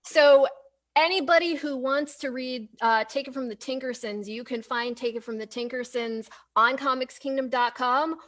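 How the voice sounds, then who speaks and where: A woman with a high-pitched voice hosts a live-stream interview. She talks informally and somewhat hesitantly, often starting a sentence over before she finishes it. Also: normal speed, fairly bright tone. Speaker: interview host.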